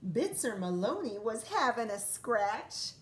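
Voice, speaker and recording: A woman's voice: on the high side, big pitch swings, slow, a little hiss or hum. A woman first-grade teacher reading a picture book aloud to young children, expressively drawing out lines and adding chatty asides.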